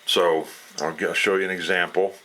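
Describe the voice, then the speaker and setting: A man giving a casual, unscripted explanation as a radio hobbyist, in a plainspoken, folksy way with halting phrasing. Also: low voice, little pitch movement, very noisy.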